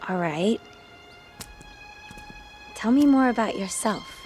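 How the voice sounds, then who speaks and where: Slow-speaking, sweet young girl. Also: on the high side, expressive, darkish tone, soft background music. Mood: puzzled. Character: sweet, curious teenage girl falling in love. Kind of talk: radio drama acting.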